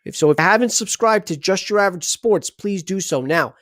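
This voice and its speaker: A man's voice: sits high, expressive, fairly quick, a bit bright, dry recording, studio quality. Chatty man hosting a sports livestream. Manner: rambling, chatty, many restarts. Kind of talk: casual sports livestream talk.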